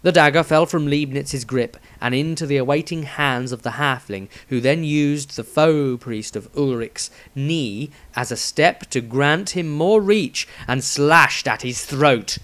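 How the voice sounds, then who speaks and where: A man's voice: fairly high pitch, a little slow, few pauses, fairly bright tone. A man reading a scripted fantasy adventure aloud as a dramatic storyteller.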